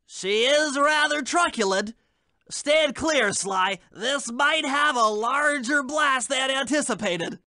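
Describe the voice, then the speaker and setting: A very high, bright, nasal voice for a male turtle in a video game. He is a bookish, anxious tech whiz with a fussy way of talking. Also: takes it slowly, no room sound, studio quality, heavily acted. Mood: fussy, nervous.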